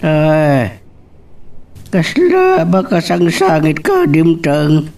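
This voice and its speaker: A concerned, coaxing father in a Filipino radio drama. His voice is high for a man and sounds set back from the microphone. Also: huge pitch swings, drawn-out words, a little mellow, faint music behind, exaggerated voice. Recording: distant from the microphone.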